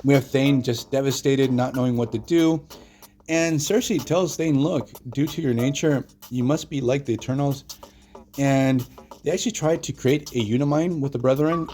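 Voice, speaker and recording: A hoarse man hosting his own channel, retelling a comic story in a loose, chatty way with lots of fillers. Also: medium pitch, warm tone. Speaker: comic book channel host. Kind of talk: casual solo video commentary.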